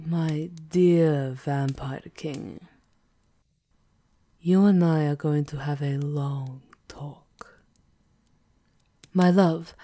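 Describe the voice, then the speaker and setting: A woman's voice: deep voice, warm tone, no echo. A woman playing a commanding aristocratic vampire countess in an ASMR roleplay, with a theatrical, teasing menace. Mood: cold, menacing.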